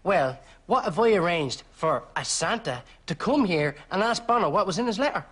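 A man's voice: high voice, lively intonation, moderate pace, fairly bright tone, slightly rough, heavily acted. An actor playing a cocky, dim young male schemer with an Irish accent, in sitcom patter. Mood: cheeky, scheming. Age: young adult. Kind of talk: sitcom acting.

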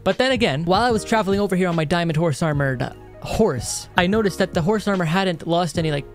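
A young man giving casual, excitable let's-play commentary in a chatty way, close on a studio microphone. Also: high-pitched, fast talker, faint music behind. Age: young adult. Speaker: gaming video host. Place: indoor room at a desk. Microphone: close dynamic studio microphone.

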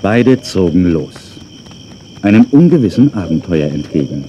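A man narrating a scripted children's audio drama as a calm storyteller. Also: low-pitched, moderate pace, noisy background.

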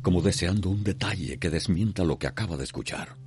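Actor as extremely deep Cuban drama narrator. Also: male voice, a little fast, lightly acted. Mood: solemn. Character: solemn radio soap narrator. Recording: loud music bed under narration.

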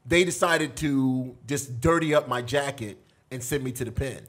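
A middle-aged man casually telling animated stories as a podcast guest, in a small studio on a boom mic. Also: normal speed. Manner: animated, gestures a lot while telling prison stories. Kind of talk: casual storytelling. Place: small studio with foam panels. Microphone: boom mic on table.

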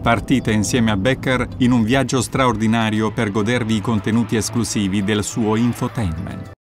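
A man's voice: on the low side, fairly even intonation, normal speed. A man delivering a scripted promotional voice-over. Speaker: voice-over narrator. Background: music.

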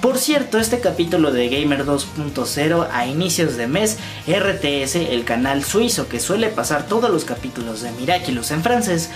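A man's voice: a little high, sing-song, normal speed. Young man vlogging over music. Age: 20s. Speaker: YouTube host. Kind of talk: fan news and theory commentary to camera. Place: small room indoors.

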